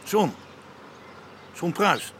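A man's voice: high voice, animated, drawn-out words, a bit bright, slight background noise, full character voice. An actor playing a streetwise, easygoing policeman in a radio drama, familiar, bossy and condescending. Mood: sly, teasing.

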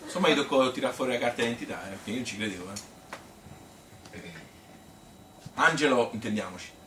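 A man's voice: mid-range voice, expressive, normal speed, bright, forceful tone. A man playing a chatty, self-amused Tuscan woman who rambles through her courtship memories in a folksy theatrical monologue. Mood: fond, insistent. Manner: rambling, folksy, filler-laden. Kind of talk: theatrical monologue, colloquial storytelling. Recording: echoey room, distant mic, hissy noise.

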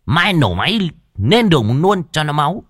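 Man putting on an excitable, high-voiced old schemer. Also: moderate pace, breathy edge, no room sound, clean studio sound, heavily acted. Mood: jolly. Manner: excitable, slangy. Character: jolly, greedy, crude old male wood trader.

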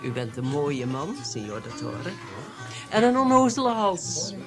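An actress playing a haughty, sly princess in a radio drama, speaking in a teasing, insinuating, aristocratic way. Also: female voice, low voice, sing-song, measured pace. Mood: seductive, menacing. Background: music behind the voice.

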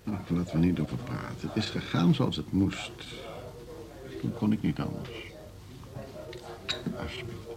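A man's voice: low voice, big pitch swings, unhurried, gravelly, pauses often, a crowd in the background, full character voice. An actor in a radio drama playing a worldly, wistful older man, musing in a condescending way with frequent tag questions.

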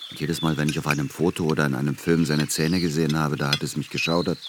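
A man's voice: a bass voice, level tone, fast, noisy background. A man playing an earnest, brooding adult son in a radio play, speaking hesitantly. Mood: earnest, wistful.

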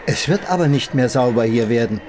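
An actor playing an elderly husband in a German radio drama, calm, resigned and tender, speaking in short plain sentences. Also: male voice, mid-range voice, fairly quick, natural voice. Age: older. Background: music.

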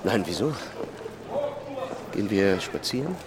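Cagey scientist, deep voice, slow terse replies, radio drama, loud street noise around. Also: male voice, very animated. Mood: evasive. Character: defensive, secretive scientist talking to his partner. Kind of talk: naturalistic audio drama dialogue.

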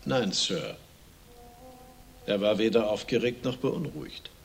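A man's voice: on the low side, unhurried, vocal fry, soft background music. An actor playing a long-serving, discreet butler in a radio crime drama, formal, deferential and precise in his speech. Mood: composed, formal.

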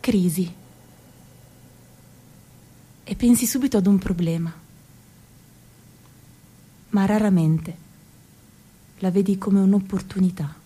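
A woman performing theatrical narration for a radio piece, with expressive swings in pitch and loudness. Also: slow pace, pauses often, a little hiss or hum.

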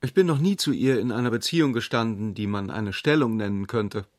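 Dry, ironic gentleman, played by an actor. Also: fairly low pitch, a little fast, dry recording, lightly acted. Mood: guarded, curious. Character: cool, evasive, ironic gentleman intellectual. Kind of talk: radio drama dialogue.

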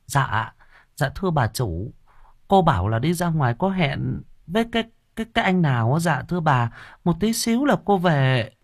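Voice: mid-range voice, big pitch swings, normal speed, voice pushed up. A storyteller voicing a timid, deferential old housemaid who stammers and pleads. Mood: flustered.

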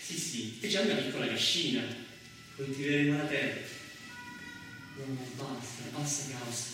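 A man on stage playing a restless idealist who dreams of a rural commune, in a repetitive, halting theatrical monologue that drifts between murmurs and exclamations. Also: mid-range voice, background noise, close to an everyday voice. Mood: weary, resolute. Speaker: solo stage actor. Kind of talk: theatrical monologue with bursts of singing. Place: reverberant performance space, live before listeners. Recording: echoey hall, distant mic, music behind.

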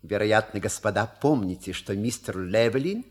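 An actor playing an unflappable, urbane manservant in a comedy audio play, deferential with a husky voice and subtle irony. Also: male voice, fairly low pitch, expressive, normal speed. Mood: explanatory, sly. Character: suave, unflappable, wry male manservant, courteous.

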